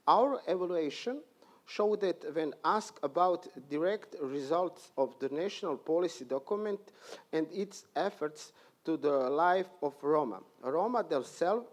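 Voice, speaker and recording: A man with a Croatian accent who speaks Croatian natively, presenting statistics at a conference panel in halting English with frequent pauses. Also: fairly high pitch, a little mellow. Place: conference hall.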